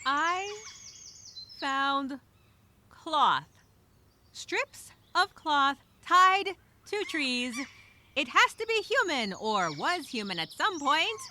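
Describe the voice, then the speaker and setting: A woman playing an anxious stranded castaway, speaking a dramatic, halting, repetitive audio journal with expressive sighs. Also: high-pitched, slight background noise, full character voice. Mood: excited, nervous. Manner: expressive, halting, sighing, repeats herself. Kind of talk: dramatic first-person audio journal monologue.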